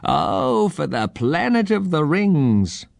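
A man's voice: fairly high pitch, sing-song, slow, gravelly, no room sound, clean studio sound, voice pushed up, heavily acted. A man voicing a friendly, eccentric old eagle-man in a children's story, with a quaint, folksy way of talking. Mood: lamenting.

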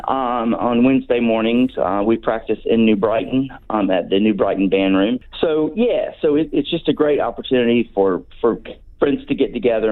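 Brief: Southern American man, sixties, halting friendly guest, tinny phone-line sound. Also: on the low side. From North Carolina, USA. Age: 60s. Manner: hesitant, many ums and restarts. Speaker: radio guest, brass band member. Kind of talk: casual radio interview.

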